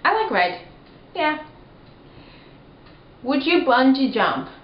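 A woman's voice: high-pitched, big pitch swings, slow pace. A young woman from Cameroon chatting casually and expressively to camera as a video blogger, in an informal, emphatic way. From Cameroon, also lived in Kenya and Rwanda. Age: young adult. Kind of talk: casual chat to camera, answering tag questions. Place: small living room, indoors. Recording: dull, roomy home recording with faint noise.

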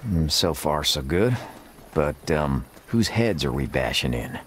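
An actor playing a dry-witted, sardonic cowboy gunslinger with an American Western drawl in a video game. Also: male voice, extremely low pitch, big pitch swings, unhurried, a little hiss or hum, exaggerated voice. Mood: wary, resigned. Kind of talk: video game cutscene acting.

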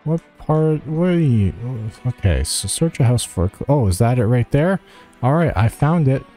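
A man with a soft, mellow, mid-range voice talks through a video game guide in a casual way. He thinks aloud and addresses the characters, with some music behind him. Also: medium pitch, very animated, normal speed. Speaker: gaming guide host.

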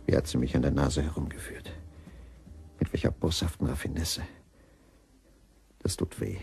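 An actor playing a bitter, obsessed waiter turned killer in a crime radio play, with a gravelly, brooding, intense delivery. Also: male voice, rumbling low, fairly even intonation, moderate pace, a little mellow, heavily acted. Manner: brooding, intense, swinging into agitation. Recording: music behind the voice.

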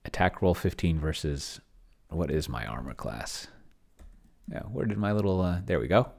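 A middle-aged man with a very deep voice and a lot of vocal fry talks casually through what he is doing on a computer. He thinks out loud, often muttering and trailing off, as someone hosting alone from a home desk. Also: expressive, normal speed. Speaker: host. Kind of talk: casual thinking-aloud screen-share commentary. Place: indoor home room at a desk.

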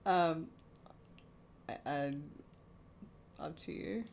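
A woman's voice: deep voice, drawn-out words, creaky, a little hiss or hum. A woman chatting casually as a co-commentator, amused and a little hesitant. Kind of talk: casual chat.

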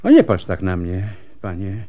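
An actor playing a weary, wry old doctor scarred by smallpox in a radio drama. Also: male voice, low voice, wildly swooping pitch, highs cut off, heavily acted. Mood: wry, resigned. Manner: wry, world-weary, unhurried.

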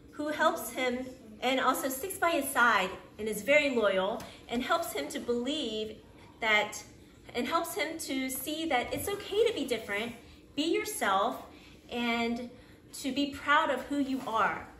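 A woman's voice: sits high, animated, takes it slowly, sharp tone, a little hiss or hum. A woman reading a children's picture book aloud expressively, acting out exclamations and sound effects. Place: living room at home.

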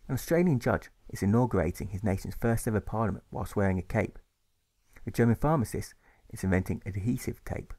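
A man reading scripted rhyming narration in a measured, rhythmic way. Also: on the low side, mellow. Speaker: author reading his own audiobook.

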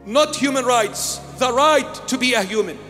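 A man's voice: very high voice, normal speed, sharp tone, big-room sound, soft background music. An older man, born in Iraq, preaching an emphatic sermon into a handheld microphone in a small church, repeating key phrases. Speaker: bishop. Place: small church with altar and candles.

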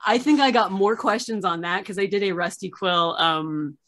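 A woman in her thirties hosting a casual interview over a video call from home, chatty and animated, laughing often. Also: medium pitch, normal speed. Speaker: archivist and show host. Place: home room with framed pictures on yellow wall.

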